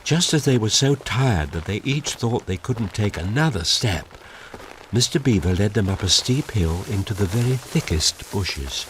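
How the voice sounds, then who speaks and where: A man's voice: on the low side, lively intonation, normal speed, slight background noise. A man narrating a scripted children's story as a storyteller. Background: music.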